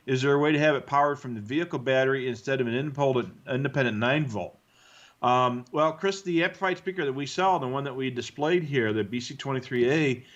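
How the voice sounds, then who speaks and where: A man co-hosting a live stream, explaining things in a conversational, halting way as he answers viewers. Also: mid-range voice, lo-fi sound. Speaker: co-host answering viewer questions.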